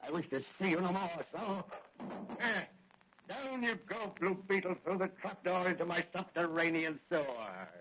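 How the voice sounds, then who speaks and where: An actor playing a cold, sardonic, commanding racketeer chief in a 1940s radio crime serial. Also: male voice, sits high, lively intonation, normal speed, long pauses, background noise. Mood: gloating menace. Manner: mock-polite, commanding, theatrical. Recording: muffled old 1940 radio recording.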